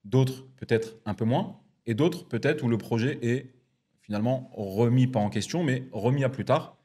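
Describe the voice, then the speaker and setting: A man hosting a casual podcast interview in a studio, talking in a relaxed way with many fillers. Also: medium pitch, moderate pace, husky. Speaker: podcast host.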